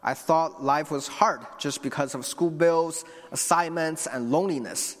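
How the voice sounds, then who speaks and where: Young preacher in a reverberant hall. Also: male voice, on the high side, a little slow. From China. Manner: earnest, with restarts and asides to the congregation. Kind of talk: sermon.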